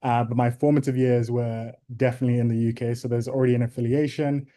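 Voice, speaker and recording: A young man from Ghana talks through his answers on a video call. His voice is mellow and fairly flat in pitch. He is deliberate and thoughtful, often pausing on ums. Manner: measured, thoughtful, with frequent ums. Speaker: interview guest.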